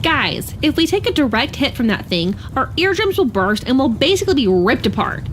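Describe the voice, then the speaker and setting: An actress playing a sharp young woman driving the van, curt and commanding. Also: sits high, big pitch swings, fairly quick, a little hiss or hum.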